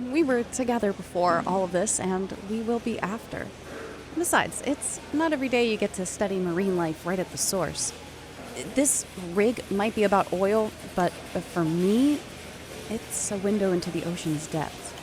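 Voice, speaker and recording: A woman acting as a warm marine biologist in an audio drama, with a mid-pitched voice. She talks with gentle enthusiasm, and clear background noise sits under her words. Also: lively intonation, a little slow, close to an everyday voice. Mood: affectionate. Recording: clear ambient noise behind.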